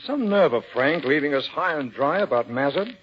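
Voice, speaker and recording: An actor playing a genial, opinionated middle-aged doctor, wry and blunt, in the style of 1940s radio drama. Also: male voice, on the high side, animated, normal speed, close to an everyday voice. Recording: muffled, noisy 1940s radio recording.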